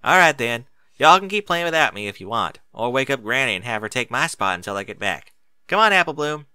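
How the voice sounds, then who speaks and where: Blunt, protective farm pony mare acted by a man, folksy and forceful, animated storybook delivery. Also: medium pitch, a little fast, smooth, dry recording. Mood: bossy, firm. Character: bossy, down-to-earth country farm pony mare, protective elder sister.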